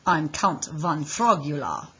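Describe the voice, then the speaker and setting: A woman voicing an eager, mischievous young boy frog in a storybook, with exclaiming, drawn-out playful delivery. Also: low voice, animated, bright, forceful tone, husky, heavily acted. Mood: playfully pompous.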